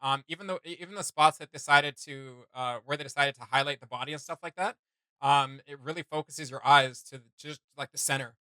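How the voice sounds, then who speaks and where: Guy reacting casually, rambling slangy and keen, bright forward voice, no room echo. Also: medium pitch, fairly quick. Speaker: reaction video host.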